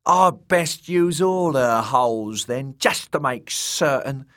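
An actor playing a cruel, crude male jester who brays and mocks his victims in a high, shrieking voice. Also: animated, slow pace, fairly bright tone. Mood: leering. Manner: mocking, leering. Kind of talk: audiobook character voice.

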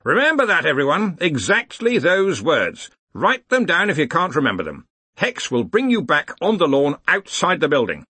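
A man voicing an impatient old head of a wizard university, with a brusque, booming, commanding manner. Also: huge pitch swings, brisk, sharp tone, breathy edge, no room sound, full character voice. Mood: brisk, commanding.

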